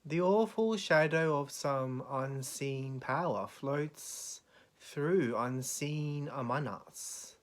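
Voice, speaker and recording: A man reciting poetry in a solemn, measured, dramatic way, with deliberate pauses between phrases. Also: mid-range voice, animated. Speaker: poetry reader.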